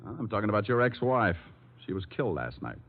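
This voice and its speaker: An actor with an American accent playing a cool, cocky hard-boiled private detective, dry and slangy, in a 1940s radio drama. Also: male voice, on the low side, sing-song, brisk. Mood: dry, sardonic. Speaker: lead actor and narrator. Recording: muffled vintage radio, faint music.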